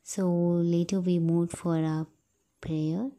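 A woman's voice: on the low side, little pitch movement, slow, dark tone, soft background music. A woman vlogger narrating a farm trip in a plain voice-over, explaining each stop simply.

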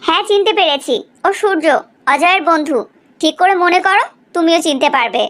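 A woman plays a middle-aged cartoon mother with an extremely high, swooping voice. She is bossy yet affectionate, and speaks in an emphatic, scolding, exaggerated way. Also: animated, brisk, fairly bright tone. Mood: fond. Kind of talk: animated cartoon family drama dubbing.

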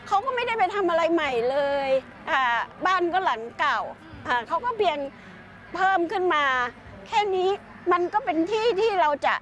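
Older woman speaking haltingly outdoors. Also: high-pitched, fairly bright tone, slight background noise. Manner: hesitant, halting with fillers. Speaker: local interviewee. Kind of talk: casual interview talk. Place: outdoors at an ancient stone temple. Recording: outdoor with faint ambience.

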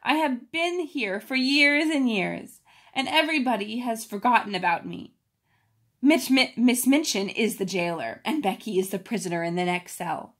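A woman's voice: fairly high pitch, lively intonation, normal speed. A woman voicing a brave, imaginative young servant girl in a storybook, with an earnest, resolute manner. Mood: earnest pretending.

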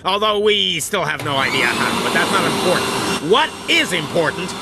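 An actor playing an irritable, self-important police chief who blusters and barks orders in a comedy game. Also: male voice, fairly high pitch, big pitch swings, normal speed, heavy background noise. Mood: pompous briefing. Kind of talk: animated comedy game acting.

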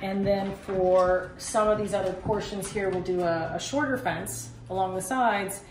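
A woman's voice: mid-range voice, unhurried. Woman in an echoey, noisy unfinished house. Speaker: homeowner giving a renovation tour. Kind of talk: casual walk-through home tour. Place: indoors in a bare wood-framed house under renovation. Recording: roomy echo, distant mic, loud site noise.

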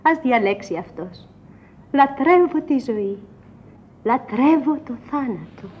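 An actress playing a romantic young café waitress in a radio drama, dreamy, ardent and declarative. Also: female voice, high-pitched, lively intonation, heavy background noise. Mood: ardent longing. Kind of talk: radio theatre monologue. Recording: dull old radio recording.

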